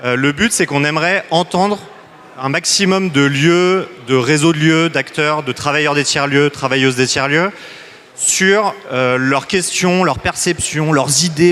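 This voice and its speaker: A man's voice: fairly high pitch, moderate pace, fairly bright tone. A man facilitating a citizens' assembly, loose and joking, with many restarts.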